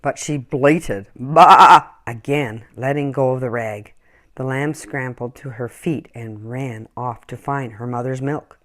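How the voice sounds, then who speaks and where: A woman reading a children's story aloud in an expressive, acted-out storytelling style. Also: very deep voice, unhurried. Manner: animated, acts out dialogue and animal sounds. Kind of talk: expressive scripted storybook narration.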